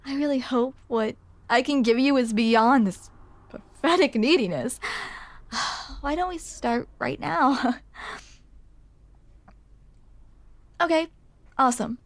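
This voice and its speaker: A woman's voice: sits high, a little slow, no room sound. A young woman playing a grumpy, smitten barista in an intimate ASMR roleplay, awkward and hesitant as she confides in the listener. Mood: relieved, grateful. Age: young adult. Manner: awkward, hesitant, self-deprecating, confessional.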